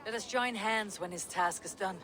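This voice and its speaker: A woman's voice: mid-range voice, a bit bright, natural voice. Actress as wry, proud Viking woman chieftain. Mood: courteous, firm. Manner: measured, wry, self-assured. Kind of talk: video game cutscene acting.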